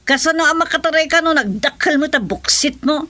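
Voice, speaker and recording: Feisty scolding ilocano woman, high sharp voice, swoops around a lot, radio drama. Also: animated, brisk. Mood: irritated. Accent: Ilocano Filipino.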